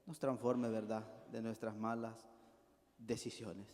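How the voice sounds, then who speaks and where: A man's voice: lowish voice, little pitch movement, slow, darkish tone, a little hiss or hum. A middle-aged Salvadoran man preaching a sermon to his congregation, folksy, often asking the congregation to agree with him as he tells a homely story. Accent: Salvadoran Spanish. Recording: long reverb of a church hall.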